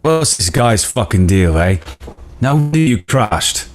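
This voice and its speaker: A man's voice: lowish voice, sing-song, measured pace, slight background noise. A man acting a brash, dim police sidekick at a script table read. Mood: mocking.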